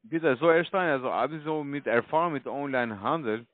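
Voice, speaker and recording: A man with a foreign accent, not a native speaker, giving a halting, scripted pitch over the phone. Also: no room sound. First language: not German. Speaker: call centre caller posing as official. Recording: thin phone line.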